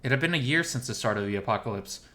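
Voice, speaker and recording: A man reading a first-person visual novel story as a narrator, in a measured, expressive way. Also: medium pitch.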